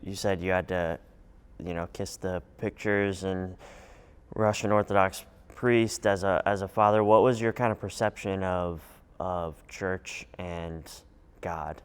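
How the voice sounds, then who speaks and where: A man's voice: low-pitched, steady tone, measured pace, clear, resonant tone. A male host interviewing a guest in a friendly, curious way with short reactions. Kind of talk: casual interview.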